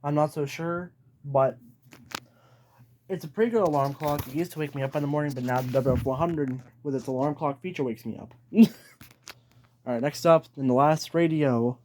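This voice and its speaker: A man's voice: on the high side, moderate pace, darkish tone, slight background noise. A man chatting casually as he hosts a hobby video, informal and hesitant, thinking aloud. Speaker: hobbyist video host showing a radio collection. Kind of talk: casual vlog chat.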